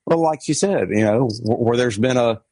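A man in a book club discussion who speaks haltingly, repeating words and restarting his sentences. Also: mid-range voice, darkish tone, no room sound. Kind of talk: casual group discussion.

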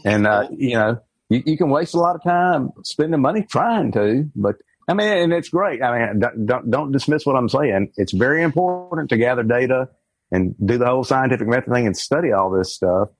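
Halting, husky man in a group chat. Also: medium pitch, animated, no echo. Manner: halting, with many repeated words and restarts. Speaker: book club member. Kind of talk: casual group discussion.

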